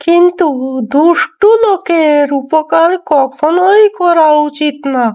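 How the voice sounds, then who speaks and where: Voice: very high voice, full character voice. An actor voicing a sly, clever cartoon jackal who plays dumb and mocks with exaggerated confusion. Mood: smug, scolding. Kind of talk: cartoon folk tale dialogue. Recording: music behind the voice. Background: music.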